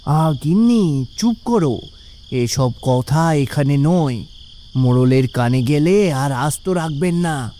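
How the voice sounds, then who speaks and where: A man's voice: a little high, expressive, takes it slowly, warm tone, a little hiss or hum. A man playing an anxious, humble village vegetable farmer in a cartoon, with a worried and plaintive way of talking. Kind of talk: cartoon voice acting.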